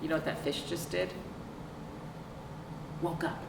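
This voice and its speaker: A middle-aged woman hosting a children's storytime, reading aloud with chatty asides, expressive drawn-out emphasis and questions to young listeners. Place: small indoor room, seated on a couch. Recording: roomy, distant mic with clear background noise.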